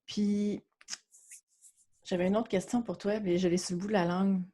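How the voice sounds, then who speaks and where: A woman's voice: mid-range voice, fairly even intonation, normal speed, dark tone. A woman with a Québécois accent hosting a podcast, asking friendly, curious questions. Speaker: podcast host. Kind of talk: interview conversation.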